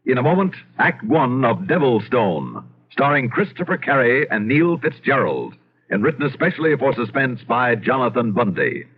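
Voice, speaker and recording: A male announcer introducing an old-time radio suspense drama in a portentous, measured way. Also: medium pitch, very animated, a little hiss or hum. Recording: muffled vintage radio broadcast, music behind. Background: loud music.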